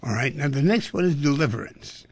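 A man hosting a talk radio show, talking in an animated way and asking rhetorical questions. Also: on the high side, a little fast, slightly rough.

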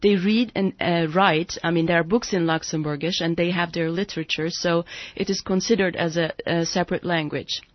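A woman's voice: fairly low pitch, steady tone, a little fast, few pauses, dry recording. A woman with a Turkish accent speaks as a guest in a conversational radio interview. She is a little hesitant, feeling for her words. The sound is dry and close, slightly dull. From Turkey. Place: radio studio.

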